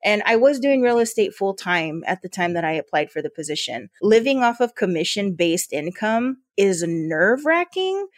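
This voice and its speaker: A woman's voice: medium pitch, sing-song, moderate pace, hardly any pauses, no echo, clean studio sound. An American woman chatting informally as an interview guest, telling personal anecdotes in a conversational way. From Yuma, Arizona, US. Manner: chatty, informal, often quotes dialogue. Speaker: interview guest, port authority leader.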